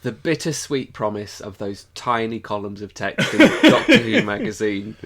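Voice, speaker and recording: A man's voice: lowish voice, big pitch swings, normal speed, crowd noise. Jokey male podcast co-host. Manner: jokey, playful, wry. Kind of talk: casual chat about a TV show and its fandom.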